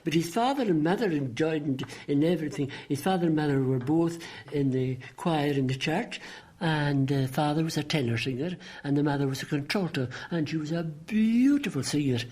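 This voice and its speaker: A man in his late eighties with a Scottish Highland accent, recalling the past in conversation and repeating phrases for emphasis. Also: moderate pace, hardly any pauses, a little mellow. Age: late 80s. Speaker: local resident interviewee. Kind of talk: recollection in conversation.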